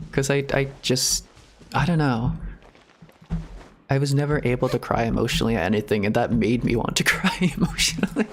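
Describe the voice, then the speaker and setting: A man's voice: medium pitch, normal speed, pauses often, a little hiss or hum. A man streaming a game, chatting in a casual, confessional way, hesitant and rambling, often chuckling at himself. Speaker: streamer reacting to a video game. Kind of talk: casual, confessional chat while playing.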